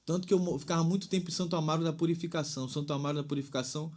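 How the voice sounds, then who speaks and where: A man's voice: on the high side, flat delivery, very fast, darkish tone. A man in his thirties from Bahia, Brazil, a singer-songwriter guest talking reflectively and at length, tagging phrases with "né?". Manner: thoughtful, rambling with frequent "né?". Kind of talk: reflective interview talk.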